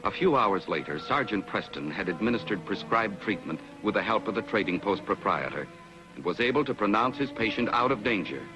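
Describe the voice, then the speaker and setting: A man's voice: lowish voice, lively intonation, fairly quick, over loud music, close to an everyday voice, low-quality recording. A man giving formal, dramatic narration for a 1950s TV adventure.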